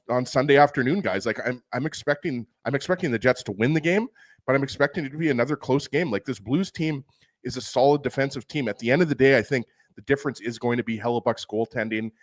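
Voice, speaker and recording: A man's voice: medium pitch, no room sound. A man co-hosting a hockey talk show, talking casually and repeating words as he goes. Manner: conversational, repeats himself.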